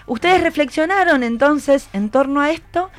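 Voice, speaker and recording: A woman's voice: high voice, expressive, moderate pace. A woman with a Rioplatense Argentine accent hosting a conversational radio interview, often checking back with her guests. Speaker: radio host.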